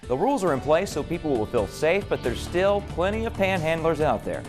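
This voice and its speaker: A man's voice: on the high side, big pitch swings, fairly quick, music in the background. An American man delivering a scripted TV news report as a reporter.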